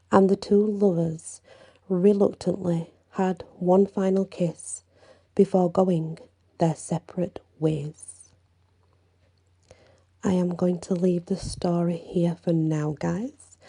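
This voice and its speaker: A woman narrating a bedtime fantasy story in ASMR style, hushed and breathy, often slipping toward a whisper. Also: lowish voice. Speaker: storyteller reading own fantasy fiction.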